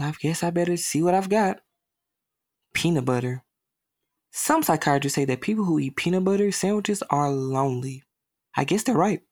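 A woman's voice: low-pitched, a little mellow, dry recording, studio quality. A woman performing a monologue as a lonely, self-doubting young boy, glum and self-deprecating. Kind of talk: dramatic monologue reading.

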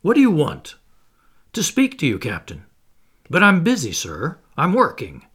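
A man voicing a stern, secretive submarine captain who speaks roughly and ironically. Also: medium pitch, very animated, measured pace, a bit bright, heavily acted. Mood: curt.

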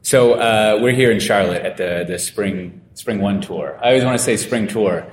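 Low-voiced male podcast host, echoey room. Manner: easygoing, chatty interviewer with quick affirmations. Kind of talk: casual interview. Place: conference venue. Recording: echoey room with faint rumble.

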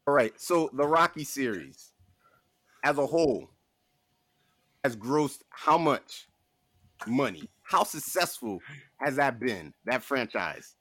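A man's voice: medium pitch, big pitch swings, slow pace, long pauses, fairly bright tone, no room sound. A man hosting a podcast in casual comic debate, arguing emphatically with mock disbelief. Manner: argumentative, mock-incredulous, emphatic. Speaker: podcast host.

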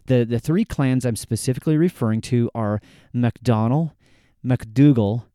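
Calm, dark-toned man explaining history. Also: mid-range voice, smooth, no echo, clean studio sound. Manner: measured, explanatory, with frequent restarts. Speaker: podcast host. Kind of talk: solo history podcast talk.

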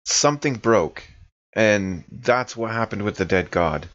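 Man, deep voice, dullish recording, casual in-character play, horror tabletop game. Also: lively intonation, a little slow, a bit bright, dull audio. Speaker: player in a horror tabletop podcast. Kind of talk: casual improvised in-character roleplay.

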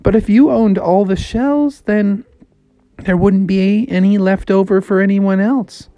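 An adult storyteller voicing a cheeky, grabby frog in a children's story, with a whiny, excitable voice. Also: mid-range voice, measured pace, dry recording, muffled recording, voice pushed up, close to an everyday voice. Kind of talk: improvised bedtime storytelling.

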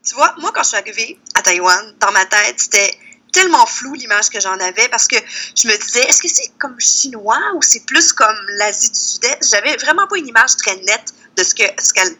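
A woman's voice: fairly high pitch, bright, forceful tone, poor audio. A woman with a Québécois accent from the Lac-Saint-Jean area, chatting informally and animatedly as she tells stories as an interview guest. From Quebec, Canada. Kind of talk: casual conversational storytelling.

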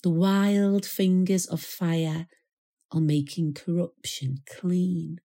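Woman reading a poem really slowly, lowish mellow voice, solemn with long pauses. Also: steady tone. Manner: measured, solemn delivery with deliberate pauses. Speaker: poetry reader. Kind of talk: poetry recital.

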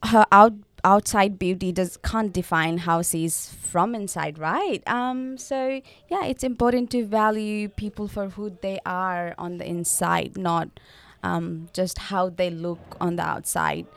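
A woman's voice: medium pitch, fairly even intonation, a little slow, no echo. A young woman from Nepal co-hosting a casual podcast, chatty and full of fillers and restarts. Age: young adult. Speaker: podcast co-host. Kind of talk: casual chat.

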